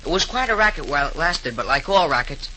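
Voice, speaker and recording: Eager, bright-voiced young fellow. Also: male voice, on the high side, animated, fast, poor audio. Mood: earnest narrating. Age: young adult. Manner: eager, earnest. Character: earnest, eager young male store helper telling his story. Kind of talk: 1930s radio acting with dramatic narration. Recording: hissy 1930s radio recording.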